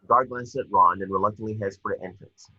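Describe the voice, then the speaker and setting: A man's voice: lowish voice, steady tone, fast talker, bright, forceful tone, husky, dry recording, highs cut off. A man reading stage directions as narrator for a screenplay table read.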